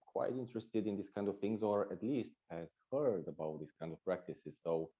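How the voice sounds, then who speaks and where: A man's voice: low voice, expressive, moderate pace, highs cut off. A man from Romania with a Romanian accent, a Reiki teacher answering interview questions, searching for words with fillers and restarts.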